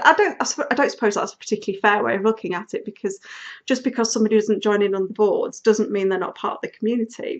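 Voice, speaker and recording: Casual woman host, sniffly with a cold, rambling chat, slightly muffled home audio. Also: mid-range voice. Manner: chatty, rambling, with frequent ums and laughs. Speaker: podcast host. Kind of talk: casual knitting video podcast chat.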